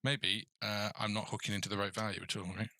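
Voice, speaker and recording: A man's voice: lowish voice, little pitch movement, fast talker, pushed and bright. A man hosting a casual coding tutorial, thinking aloud in a hesitant mutter as he works. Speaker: game development tutorial host.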